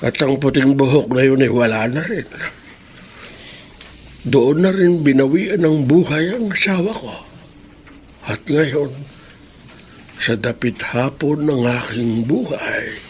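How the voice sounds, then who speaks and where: An aged grandfather in a radio drama, slow and musing, with pitch that swings widely. He is wistful and reflective, halting between thoughts, over some background noise. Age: 80s. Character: wistful, reflective 87-year-old grandfather from Bicol. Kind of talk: Filipino radio drama acting.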